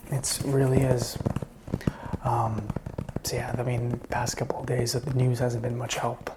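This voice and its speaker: A man playing a wary but helpful apocalypse survivor in a whispered, soft-spoken ASMR roleplay, breathy, hesitant and conversational. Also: completely flat delivery, measured pace, heavy background noise, natural voice. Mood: unsettled, disbelieving. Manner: hesitant, low-key, conversational. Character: wary, helpful man sheltering a stranger during zombie apocalypse.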